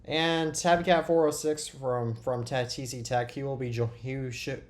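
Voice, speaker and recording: A man's voice: mid-range voice, fairly even intonation, moderate pace. A teenage boy with a cold hosting a casual tech podcast, chatting and often restarting his sentences. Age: teens. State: sick with a cold. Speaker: podcast host.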